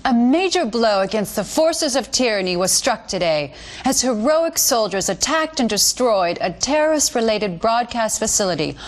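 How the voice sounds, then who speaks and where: An actress playing an earnest American TV news anchor in a video game, reading scripted bulletins in a formal, measured, authoritative way. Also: female voice, a little high, natural voice. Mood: earnest, patriotic. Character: polished female TV news anchor, earnest government mouthpiece. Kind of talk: scripted news broadcast narration.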